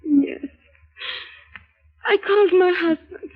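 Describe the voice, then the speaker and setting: High-voiced distraught scheming wife. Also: female voice, slow. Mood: tearful confession. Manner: melodramatic, tearful outbursts. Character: married woman scheming with lover, distraught and hysterical. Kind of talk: 1950s radio detective acting. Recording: muffled old radio drama recording.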